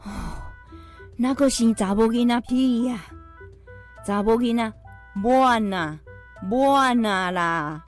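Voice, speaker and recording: A woman playing a superstitious, fretting old grandmother in a radio drama, with a Taiwanese accent and drawn-out exclamations. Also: a little high, faint music behind. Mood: fretful.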